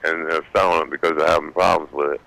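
Low, gravelly, terse male caller. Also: flat delivery, fast, sharp tone, no room sound, poor audio. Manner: terse and plain-spoken. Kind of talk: casual phone chat.

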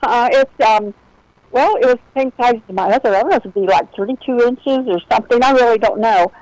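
A woman's voice: on the high side, brisk, a little mellow, no echo, rough recording. A woman calling in to a radio show, talking in a meandering, chatty way with hesitations. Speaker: caller. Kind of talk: casual phone chat.

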